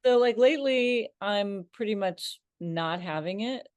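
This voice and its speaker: A woman's voice: medium pitch, unhurried, a little mellow, dry recording. A woman co-hosting a podcast in casual chat, laughing often and talking in loose, run-on thoughts. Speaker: podcast co-host.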